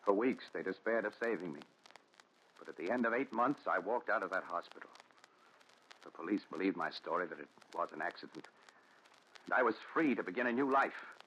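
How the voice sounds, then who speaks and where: An actor playing a haunted, desperate man confessing his story, with intense, dramatic pauses in 1940s radio melodrama style. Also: low voice, lively intonation, fairly bright tone. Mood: somber recounting. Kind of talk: 1940s radio thriller acting. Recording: dull noisy 1940s radio recording.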